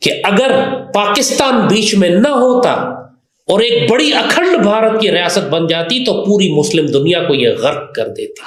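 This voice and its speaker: A man with a Pakistani accent delivering an impassioned political monologue in an emphatic, oratorical way. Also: fairly high pitch. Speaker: political commentator. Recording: roomy, echoing sound.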